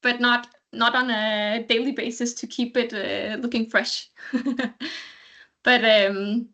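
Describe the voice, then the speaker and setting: A woman's voice: a little high, steady tone, takes it slowly, sharp tone. A woman from Sweden in her thirties giving a cheerful, casual update on a video call, laughing lightly. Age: 30s. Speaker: alumni speaker.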